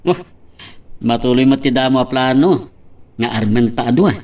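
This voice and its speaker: A man's voice: mid-range voice, moderate pace, darkish tone, distant mic, close to an everyday voice. A man with an Ilocano accent playing a young buddy and friendly love rival in an audio drama. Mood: teasing. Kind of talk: Ilocano radio-style love drama acting.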